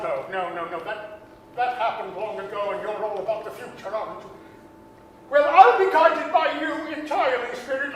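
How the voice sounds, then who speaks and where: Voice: sits high, fairly bright tone. An actor playing an anxious old male miser, stammering and pleading in a 1930s radio-style stage reading. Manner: stammering, repeating words, fretful. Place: large theatre hall, staged reading. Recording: distant, very echoey hall, faint noise.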